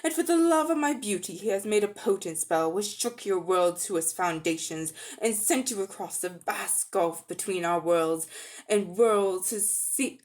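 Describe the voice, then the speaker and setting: A woman's voice: mid-range voice, lightly acted. A woman voicing a haughty, towering evil sorceress queen in a storybook, grand, commanding and contemptuous. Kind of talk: dramatic storybook reading.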